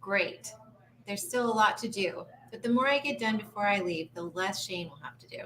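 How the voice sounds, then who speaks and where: A woman's voice: mid-range voice, animated, moderate pace, fairly bright tone, a little hiss or hum, lightly acted, poor audio. A woman playing a friendly, slightly unsure small-town woman in a sitcom table read, in a plain, understated way.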